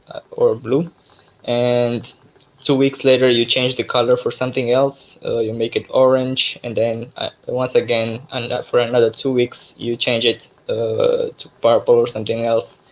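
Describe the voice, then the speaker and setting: Young man from Mozambique, native Portuguese, mid-pitched voice, loose online tech talk full of fillers. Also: moderate pace, poor audio. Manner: relaxed, many fillers and restarts. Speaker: guest speaker, developer expert.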